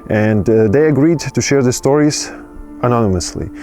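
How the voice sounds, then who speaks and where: A man presenting a documentary in a calm, measured way. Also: medium pitch, soft background music. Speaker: host and presenter.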